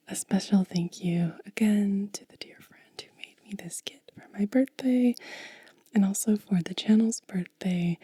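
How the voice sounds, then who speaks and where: A woman speaking in a soft, breathy whisper as an ASMR host, gentle and unhurried, close to the microphone. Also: lowish voice, no echo. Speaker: ASMR video creator and host.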